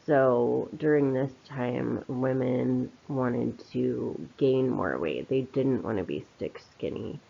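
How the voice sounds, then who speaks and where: A woman's voice: rumbling low, slow, vocal fry, few pauses, warm tone, slight background noise. A woman giving an informal spoken commentary in a reflective, opinionated, conversational manner. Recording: slightly dull, lo-fi voice recording.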